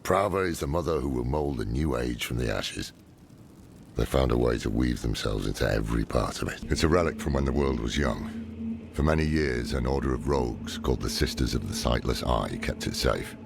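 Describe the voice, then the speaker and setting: Very deep, gravelly old scholar. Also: male voice, expressive, moderate pace, noisy background, heavily acted. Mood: grave, informative. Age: older. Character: grave old male scholar, weary, brooding, resolute. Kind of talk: dramatic video game acting. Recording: loud background music.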